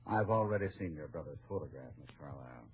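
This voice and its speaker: An actor playing a calm, confident cowboy hero with a dry, easygoing manner in an old-time radio western. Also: male voice, very deep voice, quick pace, phone-quality sound. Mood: easy, polite. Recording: noisy, thin old radio recording.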